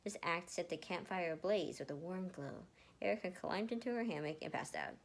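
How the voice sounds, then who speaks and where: A woman reading fan fiction aloud in an expressive amateur way, sometimes stumbling and re-reading words. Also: fairly low pitch, fairly quick. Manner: animated, stumbles and re-reads words.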